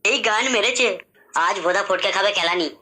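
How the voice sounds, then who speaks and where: A woman's voice: mid-range voice, brisk, noisy background, natural voice. A woman voicing a brash, bossy young village lad in an amateur comedy cartoon, with a coarse, snappy manner. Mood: annoyed. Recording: loud music under the voice.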